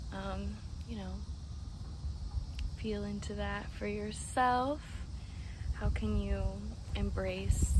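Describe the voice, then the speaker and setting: A woman reading oracle cards in a gentle, slow, often whispery way, a little congested. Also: medium pitch, gravelly. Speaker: oracle card reader. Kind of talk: soft-spoken oracle card reading. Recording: steady background noise with crickets.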